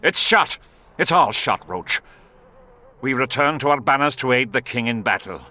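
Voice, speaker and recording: Forceful, proud nobleman officer. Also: medium pitch, animated, moderate pace. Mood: defiant, dismissive. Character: stern, defiant nobleman officer, dismissive and proud. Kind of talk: video game voice acting.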